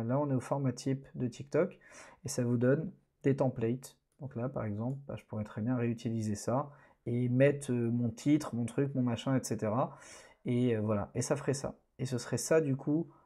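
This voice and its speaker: Man, course instructor, mellow voice, relaxed and halting screen walkthrough. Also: medium pitch. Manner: relaxed, hesitant, many fillers. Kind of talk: screen-recorded tutorial narration.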